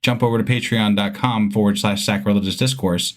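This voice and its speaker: A man co-hosting a casual podcast, talking in a rambling, halting way with many restarts. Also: lowish voice, steady tone. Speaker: podcast co-host.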